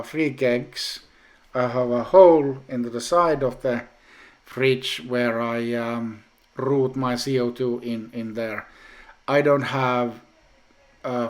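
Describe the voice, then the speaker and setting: A man whose native language is Finnish, talking casually in a home brewing vlog update, with many pauses and restarts. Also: medium pitch. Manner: slow, hesitant, many ums and restarts.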